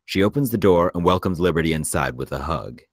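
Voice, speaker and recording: A man's voice: deep voice, expressive, a little fast, no room sound, studio quality. A man reading screenplay stage directions as scripted narration for a table read.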